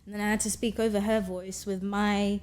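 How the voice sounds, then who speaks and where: A woman's voice: medium pitch, little pitch movement, fairly quick, a little mellow, smooth. A young woman with a Kenyan accent, a guest actor telling a self-deprecating story in a casual podcast chat. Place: podcast studio with brick wall. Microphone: studio mic on stand.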